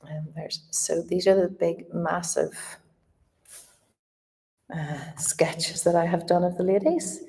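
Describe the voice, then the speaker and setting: A woman's voice: lowish voice, fairly even intonation, takes it slowly, gravelly, long pauses, warm tone, long reverb tail. A woman with a Northern Irish accent, chatting casually and directly to her viewers in a live stream from a large old house. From Northern Ireland. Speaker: artist livestreaming to viewers.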